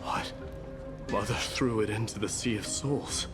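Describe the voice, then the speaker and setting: An actor playing a fierce, brooding young warrior prince in a fantasy game, with stern, weighty delivery. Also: male voice, lowish voice, a little slow, lightly acted. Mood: subdued. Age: young adult. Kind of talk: dramatic fantasy game acting. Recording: long hall reverb, music behind. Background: music.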